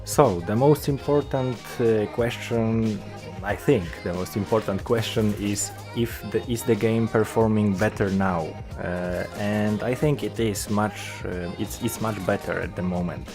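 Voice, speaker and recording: Young Polish-accented gamer, deep and unhurried, hesitant and full of fillers, music underneath. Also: male voice. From Poland. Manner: hesitant, many filler sounds. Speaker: gaming YouTuber. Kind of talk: game update commentary.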